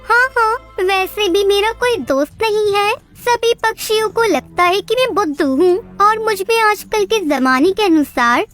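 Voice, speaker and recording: Woman voicing a very high-pitched, sweet little bird. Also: fairly bright tone, heavily acted. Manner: sweet, earnest, childlike. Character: kind, trusting little female bird, often wronged. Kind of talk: children's cartoon story acting.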